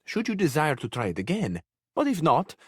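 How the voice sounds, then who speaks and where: A man's voice: on the high side, huge pitch swings, a little fast, breathy edge, dry recording, clean studio sound, heavily acted. A man voicing a flamboyant, hopelessly romantic Italian charmer in a video game, with an Italian accent and a florid, amorous delivery that savors each phrase. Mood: adoring, earnest. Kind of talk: theatrical video game character acting.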